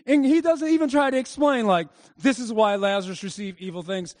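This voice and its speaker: A man's voice: high voice, brisk, a little mellow, no room sound. A male pastor delivering a sermon to a church congregation, preaching emphatically and calling on them to answer back. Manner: emphatic preaching with audience call-and-response. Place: church service before a congregation.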